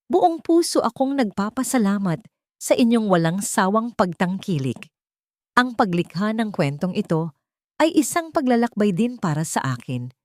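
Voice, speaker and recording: Female storyteller, lower register, sentimental measured romance narration, dry sound. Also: darkish tone, no echo.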